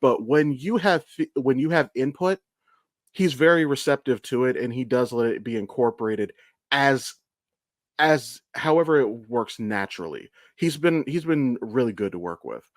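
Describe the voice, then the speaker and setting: A man with a medium-pitched voice talks as a podcast co-host. His delivery is casual and blunt, full of enthusiasm and swearing. The sound is close and dry. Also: animated, moderate pace, dry recording. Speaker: podcast co-host and amateur voice actor.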